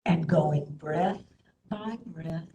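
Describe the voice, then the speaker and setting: A woman instructor leading a guided meditation in a soothing, gentle manner, with hushed phrase endings. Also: sits low, very slow, muffled recording, music in the background. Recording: roomy echo, faint background noise.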